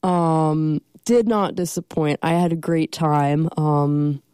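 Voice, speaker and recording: A woman's voice: deep voice, steady tone, slow pace, mellow, clean tone, dry recording. A young woman with a stuffy cold, hosting a college radio show in casual, rambling chat from a studio. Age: young adult. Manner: rambling, self-deprecating, many ums.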